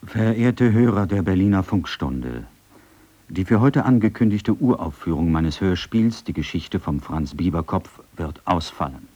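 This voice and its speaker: An actor voicing a 1930 Berlin radio figure, reading formal period texts with emphatic declamation. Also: male voice, sits low, warm tone, no echo. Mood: formal, earnest. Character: 1930 Berlin radio critics and writer addressing listeners. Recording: dull, slightly muffled cassette recording.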